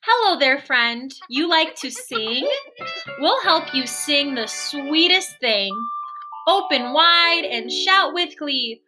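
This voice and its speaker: A woman's voice: high voice, lively intonation, slow, music in the background. A woman voicing bossy, insistent jungle animals from a storybook in a coaxing, sing-song way.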